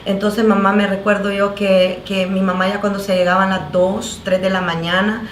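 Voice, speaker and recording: A woman pastor from El Salvador with a Salvadoran Spanish accent, giving her testimony on a talk show in an earnest, halting way with many fillers and restarts. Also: mid-range voice, little pitch movement, roomy sound, a little hiss or hum. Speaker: pastor, guest.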